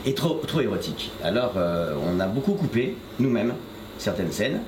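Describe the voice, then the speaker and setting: A man's voice: on the low side, big pitch swings, normal speed. An older man, a voice actor, answering interview questions about his career in a hesitant way, with many fillers and restarts. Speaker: voice actor guest. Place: shop interview, echoey room. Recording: echoey room with noise.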